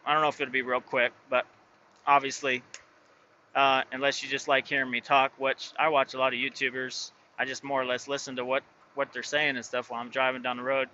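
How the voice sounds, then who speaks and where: Rambling truck-driving man, bright voice. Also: mid-range voice, level tone, a little fast, dull audio, slight background noise. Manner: rambling, many fillers and restarts. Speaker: truck driver and owner operator. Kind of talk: casual vlog talk.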